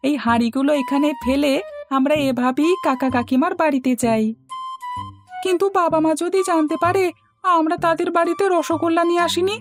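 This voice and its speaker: A woman voicing a greedy, mischievous young village boy in a fairy-tale cartoon, with music behind. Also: high-pitched.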